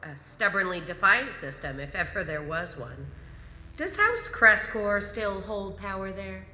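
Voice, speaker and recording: An actress playing a composed, commanding imperial grand marshal in a sci-fi audio drama, measured and probing. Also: female voice, medium pitch, expressive, pushed and bright, slight background noise. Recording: echoey hall reverb, music underneath.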